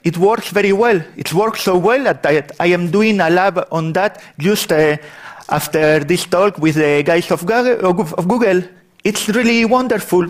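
A man from Spain with a Spanish accent, giving a technical conference talk in a large hall, often restarting his sentences. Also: high voice. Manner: apologetic about his English, frequent restarts. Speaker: conference speaker.

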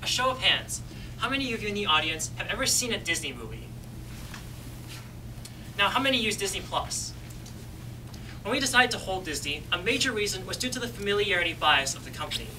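A woman's voice: medium pitch, sing-song, a little fast, pauses often. A young woman presenting on stage, engaging the audience with questions and playful asides. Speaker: student presenter. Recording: distant voice with room noise.

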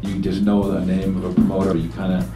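Deep-voiced man answering panel questions. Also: brisk, roomy sound, recorded from a distance, faint music behind. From New Zealand. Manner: relaxed, rambling with fillers. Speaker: reggae band singer on a panel.

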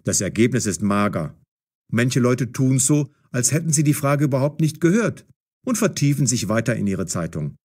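A man narrating a scripted audio story as storyteller, with a husky voice. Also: mid-range voice, very animated, normal speed, darkish tone.

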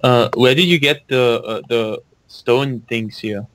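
A young man chats casually over voice call while gaming, wry and full of fillers. His voice sits at a mid pitch and sounds dry and close. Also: takes it slowly, a bit bright, no room sound. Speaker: gamer on a voice call.

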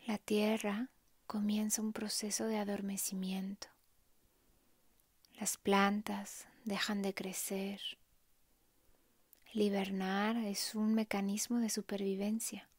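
A woman softly narrating a guided meditation in a hushed, calm, breathy way, leaving long pauses. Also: mid-range voice, no room sound.

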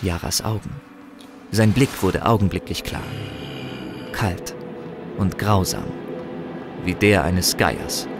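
A man narrating a fantasy audio drama in a grave, measured, scripted storytelling style. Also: low voice. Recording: music behind the voice.

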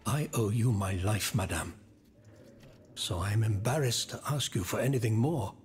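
An actor playing a proud, aggrieved former royal minister in a video game, formal and dramatic. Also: male voice, fairly low pitch, normal speed, a little mellow, soft background music, natural voice. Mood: grieving, anguished. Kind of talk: video game cutscene dialogue.

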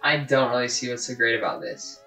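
A young man playing a dismissive, deadpan boss holding a job interview in an amateur comedy sketch. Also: mid-range voice, a little fast, over music, lightly acted. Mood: unimpressed. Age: young adult. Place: indoor basement living room.

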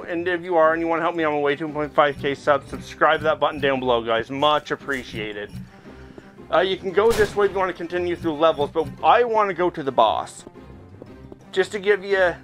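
Man, slightly high voice, gaming host, casual chatty play-by-play to viewers, clear music in the background. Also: fairly bright tone. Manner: chatty, talking to viewers while playing. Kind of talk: casual VR gameplay commentary. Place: indoors, playing in a VR headset. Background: game music.

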